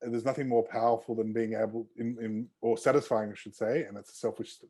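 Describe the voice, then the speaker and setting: A man with a mellow, relaxed tone and a mid-range pitch, speaking as an interview guest. He rambles in a friendly way, circling back on himself with plenty of fillers and restarts. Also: fast. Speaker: osteopath guest. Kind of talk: casual interview chat.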